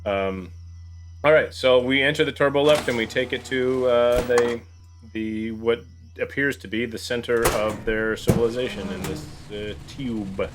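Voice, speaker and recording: A man playing a tabletop game, planning aloud in a casual, halting way. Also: medium pitch, steady tone, noisy background.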